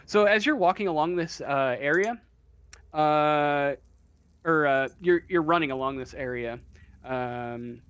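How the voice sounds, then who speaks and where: A man running a tabletop game as game master, narrating off the cuff in a halting, casual way as he searches for words. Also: on the high side, a bit bright, clear, resonant tone.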